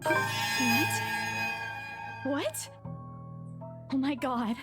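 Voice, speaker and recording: A woman's voice: high voice, drawn-out words. A woman voicing a terrified young woman trapped in a haunted mansion, pleading and panicked in a horror game. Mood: shocked, frightened. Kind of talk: horror visual novel voice acting. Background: game music.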